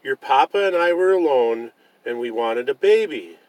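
A man voicing a loving, gentle mother bear who reassures her cub in a children's storybook. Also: mid-range voice, expressive, moderate pace, dry recording, close to an everyday voice, poor audio. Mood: tender.

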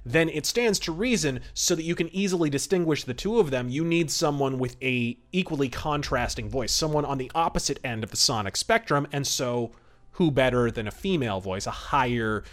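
A man's voice: mid-range voice, animated, fairly quick. A man hosting a podcast, giving enthusiastic, wordy and emphatic review commentary. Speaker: podcast host.

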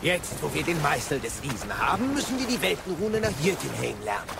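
A man's voice: a little high, sing-song, brisk, gravelly, background noise. A man playing a chatty, wise, wry guide companion who explains things in a video game audio drama. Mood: explanatory. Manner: talkative, explanatory.

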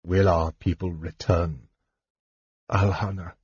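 A man voicing a dying old elf king who speaks quietly and heavily. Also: sits low, little pitch movement, slow, slightly rough, no room sound, muffled recording, pitched below the performer's own voice, heavily acted. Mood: sorrowful.

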